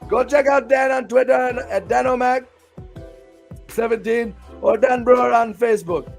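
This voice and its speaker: A man's voice: very high voice, moderate pace, vocal fry, faint music behind. A man hosting a live stream, talking in a hammy, playful, exclamatory way. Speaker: streamer and show host. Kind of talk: casual live-stream chat.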